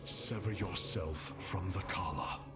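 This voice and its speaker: An actor playing a noble, resolute alien warrior leader in a video game, speaking in solemn, commanding and measured oratory. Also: male voice, low-pitched. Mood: firm, urging. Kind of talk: dramatic video game acting. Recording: loud music behind the voice.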